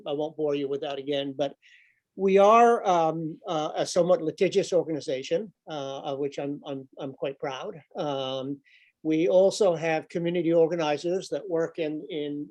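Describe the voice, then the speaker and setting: Older man, halting, lots of fillers, video call, slightly high voice. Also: a little mellow, poor audio. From grew up overseas. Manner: hesitant, many fillers and repeated words. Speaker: environmental nonprofit director and speaker. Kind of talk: informal talk to a business audience. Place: office room with a window. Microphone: laptop webcam, video call.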